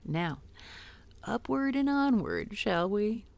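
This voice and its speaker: A woman voicing a wry, gravelly ex-professor. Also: mid-range voice, big pitch swings, takes it slowly, dry recording, full character voice. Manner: dry, teasing, takes charge, swears casually. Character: wry, self-assured disgraced former woman professor. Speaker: historical society leader. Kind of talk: scripted audio roleplay.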